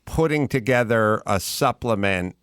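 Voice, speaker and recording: Male host, even interviewer tone, dry close sound. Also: on the low side, measured pace, clear, resonant tone, no echo. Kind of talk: interview questions.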